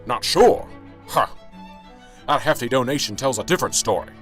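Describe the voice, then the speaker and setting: A man playing a stressed, gruff police sergeant in a radio drama, blunt and sarcastic. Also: mid-range voice, expressive, normal speed, fairly bright tone, soft background music, lightly acted. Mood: sarcastic, exasperated.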